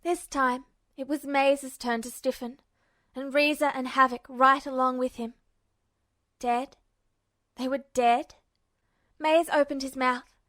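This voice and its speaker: A woman reading fiction aloud as an expressive audiobook narrator. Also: high-pitched, no room sound, clean studio sound.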